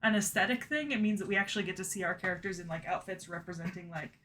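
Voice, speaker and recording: A woman's voice: fairly low pitch, quick pace, a bit bright, slight background noise. A woman co-hosting a casual anime discussion, chatty and full of "like" fillers. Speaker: podcast co-host.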